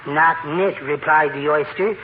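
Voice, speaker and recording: An actor playing a timid, dainty little amateur poet, mild, polite and precious, in an old radio comedy. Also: male voice, on the high side, measured pace, natural voice. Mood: shyly proud. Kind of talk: 1940s radio comedy acting. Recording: muffled, noisy old radio recording.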